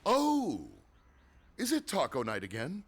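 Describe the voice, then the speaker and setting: A man's voice: fairly high pitch, huge pitch swings, slow pace, exaggerated voice. A man voicing a genteel, erudite furry beast hero in a video game, with a wordy, urbane, wry manner. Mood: wry, playful. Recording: clear noise behind the voice.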